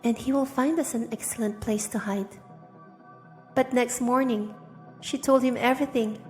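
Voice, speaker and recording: A woman's voice: a little high, steady tone, moderate pace. A woman narrating a dramatic first-person story, with long reverb and music behind her.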